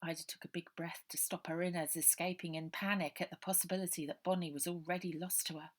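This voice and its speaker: A woman's voice: lowish voice, fairly even intonation, fast. A woman with an English accent reading scripted narration from a children's story. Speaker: author reading her own children's novel.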